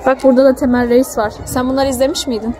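A woman's voice: sits high, little pitch movement, quick pace, mellow. A woman in her thirties talking casually to her small child, pointing things out and explaining, with coaxing endearments. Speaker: mother filming her child. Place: open-air children's park. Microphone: handheld phone, speaker behind the camera. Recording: outdoor phone video with faint crowd noise.

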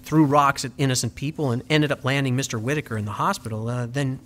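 A man's voice: mid-range voice, steady tone, fast, a bit bright, a little hiss or hum, natural voice. Hesitant, flustered witness played by a man. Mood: reluctant. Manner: hesitant, stammering. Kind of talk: comic audio drama.

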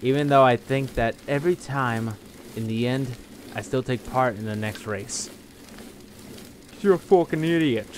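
Young man, let's play host, reads game text aloud, mid-pitched voice, faint background hiss. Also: unhurried, pauses often. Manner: reads text in a flat steady voice, breaks into laughter. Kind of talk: casual gameplay chat, reading game text aloud.

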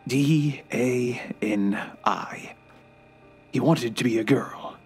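An actor playing a frail, bitter, remorseful old grandfather in an audio drama, halting and irritable, sometimes hushed and breathy. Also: medium pitch, faint music behind, exaggerated voice. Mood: wistful, remorseful.

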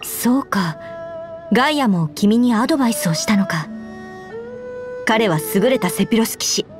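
A woman voicing an earnest, dutiful young knight commander in a Japanese game, speaking in a formal, measured way. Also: a little high, animated, close to an everyday voice. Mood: composed, thoughtful. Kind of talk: Japanese game character voice lines. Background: background music.

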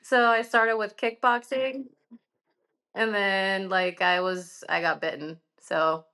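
A woman's voice: medium pitch, steady tone, slow pace, pushed and bright. A woman in her twenties with a Norwegian accent, a guest on a video call, answering easily, laughing often and saying "like" a lot. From Norway. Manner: easygoing, laughs through answers, uses "like" a lot. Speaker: guest MMA fighter. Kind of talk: casual interview answers. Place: indoor room at home.

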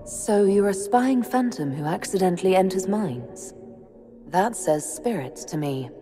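A woman's voice: mid-range voice, lively intonation, measured pace, mellow, lightly acted. A woman playing a guarded, defiant elf hero in a video game, with a dry, firm manner. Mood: wary. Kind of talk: video game cutscene acting. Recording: music behind.

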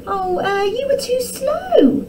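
Lady doing a bossy little princess, high voice, cheeky and sweet, storybook style. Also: very animated, measured pace, far from the mic, background noise, full character voice. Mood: sweetly sly. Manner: shrieking false alarms, sweetly brazen. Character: mischievous, bossy young princess turned fake shepherd.

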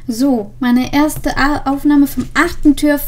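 A woman's voice: high-pitched, steady tone, takes it slowly, a little mellow. A young adult woman hosting a casual vlog, speaking into a desk microphone indoors. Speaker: video host. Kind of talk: casual vlog with story reading. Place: indoors, small room with green screen. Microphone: desk microphone with pop filter.

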